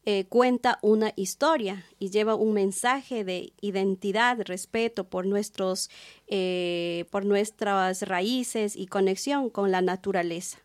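Ecuadorian highland woman answering interview questions. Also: slow pace. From Chimborazo, Ecuador. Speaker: guest, textile artisan.

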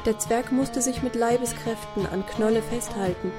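A woman's voice: fairly high pitch, fairly even intonation, normal speed, over music. A woman narrating a children's radio play as a scripted story.

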